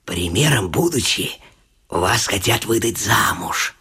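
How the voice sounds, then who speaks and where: An actor playing a talkative, simple-minded manservant in a radio comedy, with a husky, creaky voice and a folksy, deferential way of talking. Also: male voice, fairly low pitch, lively intonation, a little slow, bright, forceful tone, heavily acted. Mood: confiding. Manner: folksy, deferential, repeats a pet filler phrase. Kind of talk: theatrical radio comedy acting with some singing.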